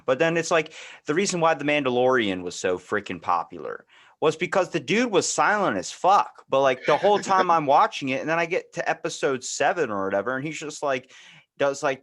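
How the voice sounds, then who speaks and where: A man's voice: medium pitch, animated, brisk, fairly bright tone. A man hosting a podcast in casual chat, speaking in an effusive, blunt and sweary way. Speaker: podcast host.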